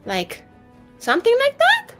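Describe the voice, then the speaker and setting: A woman's voice: very high-pitched, wildly swooping pitch, unhurried, a bit bright, soft background music, exaggerated voice. A woman playing an irreverent elf mage held captive in a tower, rambling in casual, sweary modern slang for a tabletop roleplaying game. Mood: relieved, rambling. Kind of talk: improvised in-character roleplay, casual modern speech.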